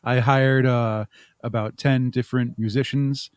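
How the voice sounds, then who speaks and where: A man's voice: mid-range voice, fairly even intonation, unhurried, clear, resonant tone. A man speaking as a podcast guest in casual interview talk, explaining things thoughtfully. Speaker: podcast guest and audio drama creator.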